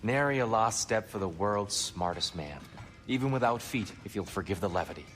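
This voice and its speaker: Humble gentle counsellor, low voice, courteous, formal, long reverb, game drama. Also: male voice, expressive, normal speed, faint music behind. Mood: gentle, gracious. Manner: soft-spoken, formal, gracious.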